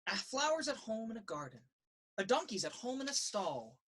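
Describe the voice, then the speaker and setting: A woman reading a children's picture book aloud in a sing-song, rhythmic way, with a slightly husky voice. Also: fairly high pitch, big pitch swings, moderate pace, a bit bright, a little hiss or hum. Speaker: story reader. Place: indoors in a children's library.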